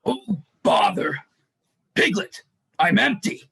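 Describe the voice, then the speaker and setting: Ailing, gluttonous storybook bear gone to seed: croaky, forceful tone, slow and needy, dark comedy play. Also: male voice, high voice, lively intonation, dry recording, clean studio sound, heavily acted. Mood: befuddled. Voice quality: raspy. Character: gluttonous, ailing, dim old stuffed bear. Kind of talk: dramatized livestream play reading.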